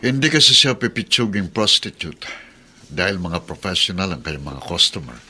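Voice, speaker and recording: An actor playing a worldly, cynical middle-aged uncle in a radio drama. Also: male voice, deep voice, big pitch swings, moderate pace, pushed and bright, slight background noise, exaggerated voice. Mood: sly, amused. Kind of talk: Tagalog radio drama acting.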